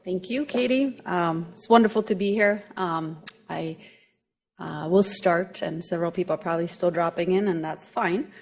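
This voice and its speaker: A woman with a Swedish accent delivers a medical lecture in a fairly low voice. She explains her points patiently and adds small touches of humor, often pausing and restarting. She speaks in a room with an audience listening. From Finland. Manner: explanatory, gently humorous, many hesitations. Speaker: grand rounds lecturer, pediatric gastroenterologist.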